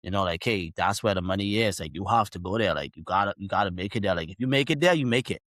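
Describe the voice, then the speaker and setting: A man's voice: low voice, fast talker, fairly bright tone, dry recording, studio quality. A young adult man with a Bahamian accent, a podcast guest telling his story in long, earnest, rambling sentences. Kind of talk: casual interview storytelling.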